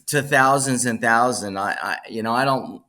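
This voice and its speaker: A man hosting an interview podcast, speaking off the cuff and haltingly, often repeating words and restarting phrases. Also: medium pitch, pushed and bright. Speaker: podcast host, bookstore owner. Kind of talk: unscripted podcast introduction and interview.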